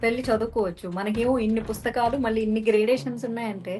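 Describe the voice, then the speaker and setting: A woman's voice: a little high, steady tone, rapid-fire, a little mellow, a little hiss or hum. A woman hosting a podcast, chatting and questioning her guest in a casual conversational style. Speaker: podcast host.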